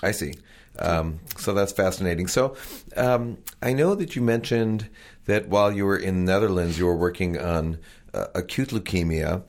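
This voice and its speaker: A man's voice: sits low, moderate pace. A man interviewing a doctor, asking curious, encouraging questions with some hesitant fillers.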